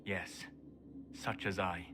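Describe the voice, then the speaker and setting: Grave elf scholar, lowish voice, measured, gentle, formal, fantasy game, music behind. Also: male voice, steady tone. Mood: gentle counsel. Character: calm, wise elven male companion, quietly grave. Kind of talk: dramatic fantasy game acting.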